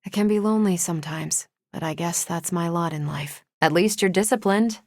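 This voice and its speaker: A confident American superhero soldier for a video game, pitched a little low and recorded close and dry. She is tough but kind, with a sardonic, offhand way of talking. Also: normal speed, darkish tone, no room sound. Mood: earnest. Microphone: close, dry studio microphone.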